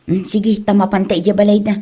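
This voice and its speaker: A woman's voice: medium pitch, little pitch movement, a little fast, dark tone, slightly rough, natural voice. A woman playing a bossy, scheming married woman in a comedy drama, with an emphatic, scolding way of talking. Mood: worried. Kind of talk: comedy drama voice acting in Ilocano.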